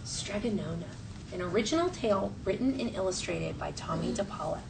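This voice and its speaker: A woman teacher reading a storybook aloud to her class and prompting the children in clear, instructional phrases. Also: lowish voice, expressive, brisk. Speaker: elementary school teacher. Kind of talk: classroom read-aloud and questioning. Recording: distant mic, faint classroom noise.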